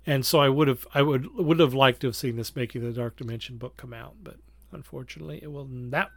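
A man with a mid-pitched voice discusses things as a podcast co-host, recorded dry with very little room sound. He is casual yet analytical, weighing points in a measured, reflective way. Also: vocal fry, no echo.

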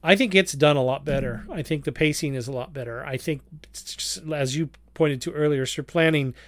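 A man's voice: fairly high pitch, a little fast, no room sound. A man hosting a casual review discussion, feeling his way through his points in a hesitant, circling way. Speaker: podcast host.

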